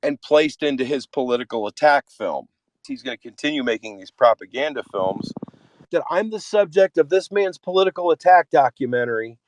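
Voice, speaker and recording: A man's voice: fairly high pitch, expressive, brisk, a little hiss or hum, low-quality recording. A man delivering an impassioned monologue in a vehement, repetitive way, often swearing. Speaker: activist.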